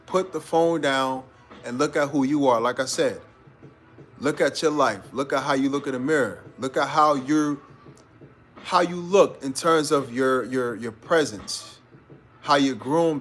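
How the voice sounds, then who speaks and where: A young man talks straight to his live-stream viewers in a loose, slangy way, calling them bro as he urges them on. His voice sits at an ordinary pitch, with soft music low behind him. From New York. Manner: laid-back, slangy, calls listeners bro. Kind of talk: casual motivational live-stream chat.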